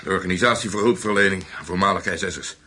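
A man's voice: deep voice, fast talker, pushed and bright, husky, full character voice. An actor in a Dutch radio play voicing a former minor SS man, a loyal, chatty but wary Nazi. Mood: chatty, reminiscing.

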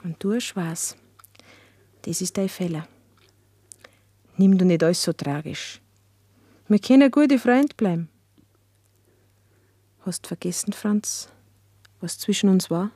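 A woman's voice: fairly low pitch, slow pace, pauses often, mellow, husky, dry recording. An actress playing a defiant, coaxing young village woman with a Bavarian accent in a radio drama, frank and unapologetic. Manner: cajoling, unapologetic. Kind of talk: German dialect radio drama acting.

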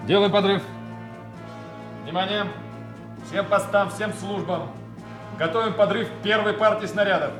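Measured, commanding army major. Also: male voice, high voice, over music. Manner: crisp military orders, measured explanations. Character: commanding army major, demolition officer, stern but humane. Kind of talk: Soviet radio play acting.

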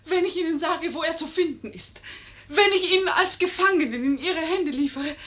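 A woman's voice: very high voice, moderate pace, fairly bright tone. An actress playing a clever, coaxing young lady in a 1950s German radio play, theatrical and persuasive. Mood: pleading.

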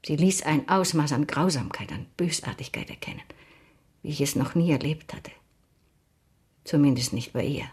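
Proud mature lady, low-pitched, breathy husky voice, radio drama, confiding and bitter. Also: normal speed, pauses often, a little mellow. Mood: wistful, bitter. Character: proud older woman confiding a bitter lost friendship.

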